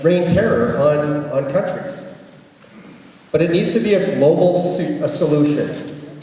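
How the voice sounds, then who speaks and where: A man, an election candidate, giving an earnest, measured answer at a debate. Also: fairly high pitch, darkish tone. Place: school gymnasium. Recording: echoey gym miked from far.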